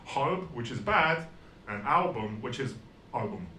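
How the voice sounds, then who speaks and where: A man delivers stand-up in a dry, self-deprecating way, often stumbling and restarting his sentences. His voice sits at an ordinary middle pitch. The room is echoey, with a faint hiss under him. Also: lively intonation, measured pace, sharp tone. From Estonia. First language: Russian and Estonian. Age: 40s. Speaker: stand-up comedian. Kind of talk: stand-up comedy set.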